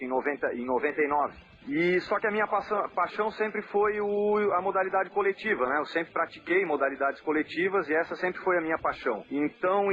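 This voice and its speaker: Man, coach, from southern Brazil, measured interview answers, fillers and restarts, somewhat high voice, muffled recording. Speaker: national team coach. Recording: muffled lo-fi audio with faint noise.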